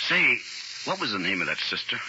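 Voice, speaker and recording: An actor playing an ex-convict turned informer and anxious father in a 1940s radio drama, halting and plain-spoken. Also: fairly low pitch, sing-song. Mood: nervous, tender. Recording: hissy, muffled 1940s radio recording.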